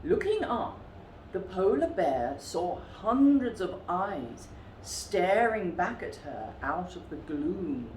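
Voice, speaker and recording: A woman reads a children's story with theatrical emphasis. Her voice is fairly low and very animated, paced unhurriedly, in a room with audible echo. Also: takes it slowly. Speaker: storytime reader. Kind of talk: expressive children's story reading. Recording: roomy echo with faint background noise.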